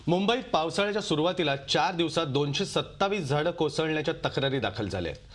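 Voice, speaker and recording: A man's voice: a little high, expressive, fast talker. A man reading scripted news as a television anchor. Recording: music bed under the voice.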